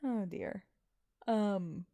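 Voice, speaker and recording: A woman's voice: medium pitch, very slow, warm tone, no echo. A tired woman chatting casually as she hosts a live stream, using mild, folksy exclamations. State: a little tired. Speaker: live stream host and amateur voice actor.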